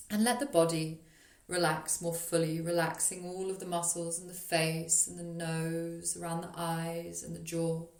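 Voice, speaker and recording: Woman doing guided yoga nidra, soothing n slow w long pauses, lots of vocal fry, echoey room. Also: lowish voice, level tone. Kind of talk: guided meditation. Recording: roomy echo on the voice.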